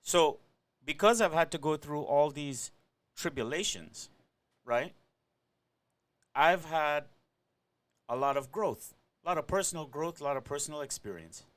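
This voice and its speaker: A man's voice: on the high side, lively intonation, a little slow, long pauses, fairly bright tone. A man in his forties, from Trinidad and Tobago and Venezuela, rambling through a personal monologue as a podcaster and often ending thoughts with "right?".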